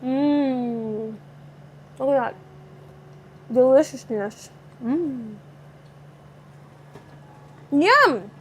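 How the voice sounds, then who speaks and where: A bubbly young woman with a light Californian accent and a bright, high voice talks easily with her viewers as she cooks at home. She slips between reading their comments and chatting about the food. A faint hum of the room sits under her voice. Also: expressive, drawn-out words, long pauses. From Southern California. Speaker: vlogger cooking live and answering chat. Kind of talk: casual live-stream chat. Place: home kitchen.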